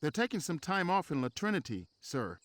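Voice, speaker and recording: An actor playing an eager, green young lieutenant in a video game cutscene, nervous but willing. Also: male voice, on the high side, very animated, fairly quick, fairly bright tone, dry recording. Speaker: trainee tank crewman.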